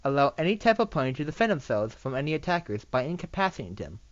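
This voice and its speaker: Eager, excitable pony princess by an amateur reader. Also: mid-range voice, quick pace, no room sound, close to an everyday voice. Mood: earnest, reassuring. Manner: pleading, enthusiastic. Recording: dull lo-fi microphone recording.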